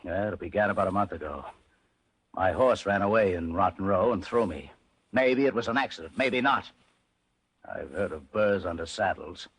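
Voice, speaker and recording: A middle-aged millionaire in old-time radio drama, gruff and aggrieved. The voice is fairly low and rough, close and dry. He speaks bluntly, with little patience. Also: normal speed, no echo. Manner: blunt, impatient, forceful. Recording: vintage radio drama recording.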